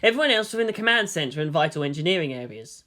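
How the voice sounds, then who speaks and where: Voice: medium pitch, sing-song, very fast, sharp tone. A narrator reading a story aloud in a dramatic, scripted audiobook style.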